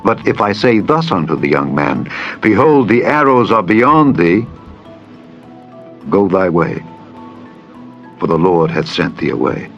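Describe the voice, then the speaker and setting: A man voicing a loyal, solemn prince and devoted friend in a dramatized scripture reading, with measured archaic oaths. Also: low-pitched, big pitch swings, fairly bright tone, full character voice. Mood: earnest, instructing. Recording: dull lo-fi audio with music. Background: background music.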